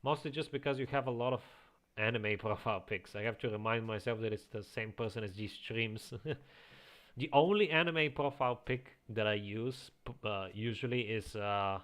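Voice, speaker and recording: A man with a slight Eastern European accent, chatting casually as a streamer, reading chat aloud and chuckling often into a quiet home microphone. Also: lowish voice, moderate pace. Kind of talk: casual live-stream chat while playing.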